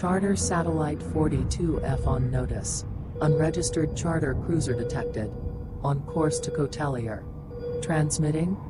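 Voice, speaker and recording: A woman's voice: low-pitched, measured pace. A woman voicing a cold corporate surveillance satellite in a sci-fi audio drama. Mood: cold, official. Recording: buried in loud music.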